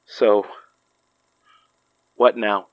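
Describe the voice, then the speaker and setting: A low male voice as a steady, caring investigator in an audio drama. He talks very slowly, with a gently teasing manner. The sound is dry. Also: no room sound, dull audio. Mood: concerned. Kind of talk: naturalistic audio drama acting.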